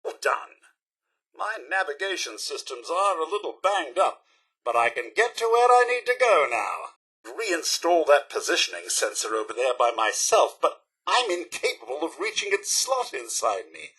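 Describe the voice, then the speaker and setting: A chipper British robot butler from a video game. The voice sits fairly high for a male and swoops widely, with a sharp, raspy edge. The delivery is prim, formal and theatrical, fussy yet unfailingly polite. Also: very animated, moderate pace, full character voice, rough recording. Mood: put-upon, polite. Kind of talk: video game character acting.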